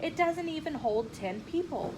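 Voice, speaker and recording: An impatient storybook knight in armor as a woman reads him, huffy and full of complaint. The voice is on the high side. The room is clearly noisy. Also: expressive, moderate pace.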